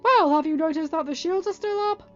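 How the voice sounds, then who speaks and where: A woman's voice: very high voice, a little fast, a bit bright, voice pushed up. A woman voicing an exasperated male Jedi master in a story reading, with a bossy, exclamatory, dry manner. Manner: exclamatory, bossy, dryly quipping. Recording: dull, band-limited audio with background music.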